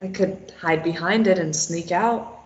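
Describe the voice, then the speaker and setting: A woman's voice: lowish voice, a little slow, lightly acted. Captive heroine, played by a woman: sarcastic, snappy, desperate, stubborn. Dull, echoing room recording. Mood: determined. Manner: snappy, argumentative. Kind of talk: script table read. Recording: roomy, dull lo-fi sound.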